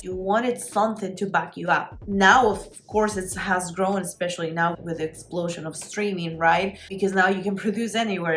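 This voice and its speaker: A woman's voice: on the low side, a bit bright. Chatty young woman. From Peru. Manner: chatty, enthusiastic, many fillers. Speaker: vlogger. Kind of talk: casual talking to camera.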